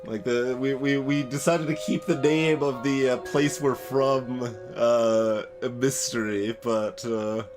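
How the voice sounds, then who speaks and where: A man doing casual live game commentary as a streamer, thinking aloud in a halting, wry way. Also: medium pitch. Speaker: let's play streamer. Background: game music.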